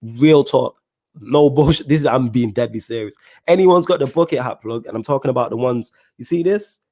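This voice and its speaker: A man's voice: medium pitch, normal speed. A man hosting a live football podcast stream, reading out viewer comments and answering in heavy slang. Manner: animated, heavy slang, keeps saying "you know what I'm saying". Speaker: podcast host.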